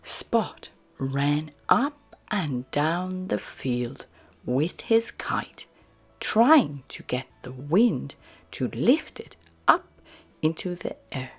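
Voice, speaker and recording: Female children's storyteller: breathy, highly animated read-aloud, unhurried to an extreme, music underneath. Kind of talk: expressive picture-book read-aloud. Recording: music behind the voice.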